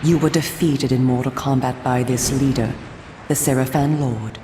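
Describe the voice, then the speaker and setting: An actress voicing a solemn, coolly persuasive female vampire resistance agent in a video game, speaking in a formal, measured, theatrical way. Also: a bass voice, fairly even intonation, echoey room, background noise. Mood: grave, expository. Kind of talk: scripted video game cutscene acting.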